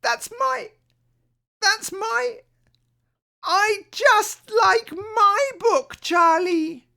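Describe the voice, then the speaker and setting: A woman voicing an excitable, stubborn, fretful little girl in a children's storybook, with childlike, insistent emphasis. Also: very high voice, very slow, creaky, voice pushed up, full character voice. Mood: distraught. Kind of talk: children's storybook read aloud.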